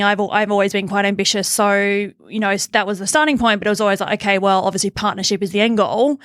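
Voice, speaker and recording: A woman's voice: mid-range voice, no room sound, clean studio sound. A middle-aged Australian woman from Tasmania, telling her story casually as an interview guest. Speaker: podcast guest, accountant and business owner.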